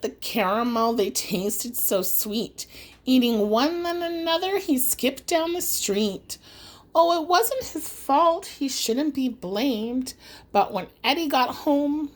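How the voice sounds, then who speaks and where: A woman's voice: sits high, lively intonation, slow pace. A woman reading a rhyming children's story aloud as an audiobook, in a dramatic, singsong storytelling manner. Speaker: audiobook reader.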